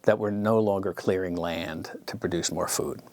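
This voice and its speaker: A man giving measured, explanatory interview remarks as an expert at a conference. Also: low-pitched, steady tone.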